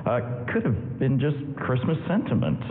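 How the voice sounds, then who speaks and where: A man's voice: mid-range voice, wildly swooping pitch, normal speed, narrow, tinny audio, a little hiss or hum. An actor playing a kindly, folksy older uncle in 1940s radio drama style, reassuring and fatherly. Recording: echoey hall, band-limited radio, loud music.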